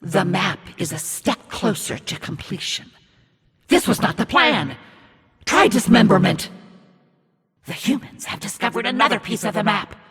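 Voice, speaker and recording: Raspy high-pitched demonic villain. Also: male voice, very animated, measured pace, a bit bright, heavily acted. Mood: scornful, threatening. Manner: menacing, commanding, mocking. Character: demonic evil narrator, menacing, scornful, imperious servant of darkness. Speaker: game narrator. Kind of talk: theatrical video game villain narration. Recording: long reverb over music.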